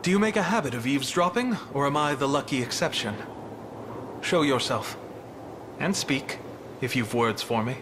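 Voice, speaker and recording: Man playing a courtly, mid-pitched mysterious wanderer. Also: lively intonation, normal speed, pauses often, background noise, natural voice. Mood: challenging. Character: courtly, modest, guarded male wanderer. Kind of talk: video game voice acting.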